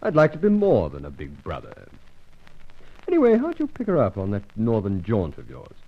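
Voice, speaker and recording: A male voice plays a crafty British old-boy rival in a vintage radio drama. The delivery is suave, teasing and theatrical, swooping dramatically in pitch. The sound is dull and dated. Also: wildly swooping pitch, normal speed, husky, full character voice. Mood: mock-hurt, teasing. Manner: teasing, theatrical, upper-class slang. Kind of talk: old-time radio adventure serial acting.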